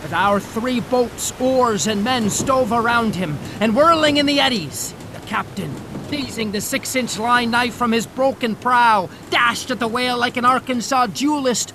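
An actor playing a principled, defiant first mate on a whaling ship, earnest and formal, in a theatrical audio drama. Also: male voice, very high voice, a little slow, few pauses, background noise. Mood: urgent, recounting.

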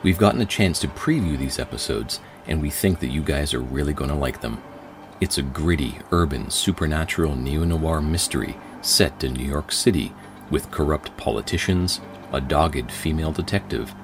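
A podcast host, a man with an extremely deep voice, reads a scripted sponsor spot. Clear ambient noise sits behind him. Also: normal speed.

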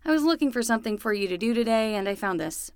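A woman playing a patient, wry young summer nanny in a comedy audio drama, with an easygoing, gently teasing way of talking. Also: on the high side, expressive, fast talker, clean tone, dry recording.

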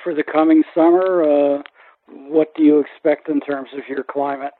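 A man hosting a conversational radio interview, putting polite, halting questions, heard through a narrow-band channel. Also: on the high side, fairly even intonation.

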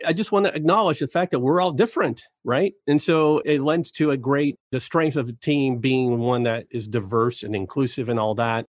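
A man's voice: mid-range voice, no room sound. Affirming male host, dry close sound. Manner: affirming, musing, fills with "you know". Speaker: interview host and coach. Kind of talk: casual interview chat.

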